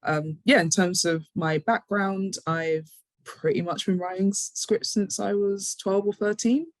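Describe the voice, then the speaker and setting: A woman's voice: mid-range voice, a little slow, no echo. A British woman speaking casually as an interview guest, a little hesitant and self-deprecating.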